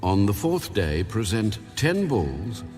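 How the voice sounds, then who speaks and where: A man giving a measured, formal and solemn scripted narration of scripture. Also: lowish voice, big pitch swings, darkish tone. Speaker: audio Bible narrator. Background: music.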